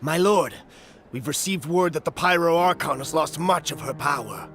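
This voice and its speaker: A man's voice: on the high side, normal speed, fairly bright tone. A man playing a deferential military subordinate in a video game, reporting formally and dutifully to his lord. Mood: dutiful, urging. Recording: music behind the voice.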